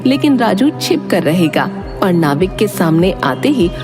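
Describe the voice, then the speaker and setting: A woman's voice: fairly low pitch, sing-song, measured pace, music in the background. A woman narrating a scripted dramatic tale as the storyteller.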